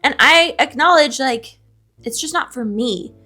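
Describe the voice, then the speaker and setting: A woman's voice: high-pitched, lively intonation, measured pace, pushed and bright, faint music behind. A woman co-hosting a casual book chat, candid and playfully dramatic in informal slang. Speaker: co-host of a book discussion video. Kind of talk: casual chat, trading confessed book opinions.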